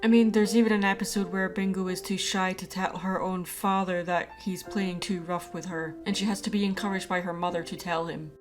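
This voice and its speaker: A woman's voice: lowish voice, level tone, a little fast, few pauses. A woman narrating a personal video essay as the host of her channel. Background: background music.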